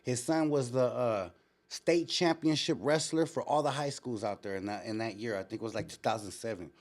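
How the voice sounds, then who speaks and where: A man's voice: medium pitch, fairly quick. Man telling stories in street slang. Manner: street slang, frequent swearing, animated retelling. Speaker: show host. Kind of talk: casual live storytelling and chat.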